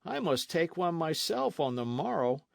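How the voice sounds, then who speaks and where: A lazy young gentleman who drawls his words slowly and sighs at every exertion. A man voices him in a storybook reading. His voice is a little raised, with a gravelly edge and lively pitch, recorded dry and close. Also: no room sound. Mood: languid. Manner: slow, sighing, mildly weary. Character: languid idle young male nobleman.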